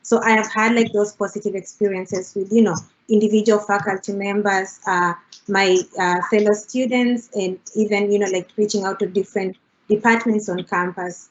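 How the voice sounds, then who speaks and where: A woman's voice: medium pitch, fairly even intonation, moderate pace. Woman, fillers, muffled call audio. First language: Swahili. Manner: many fillers, leans on "you know, like". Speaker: international student panelist. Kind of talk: panel discussion answer.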